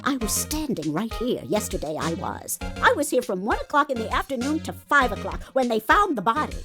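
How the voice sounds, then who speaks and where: A brash older security lady scolds and gossips in folksy, old-fashioned talk. Her pitch swings widely. Loud music plays behind this game dialogue. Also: very animated, brisk. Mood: bossy, certain. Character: brash, nagging older female studio security guard. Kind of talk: voiced dialogue for a video game fan dub.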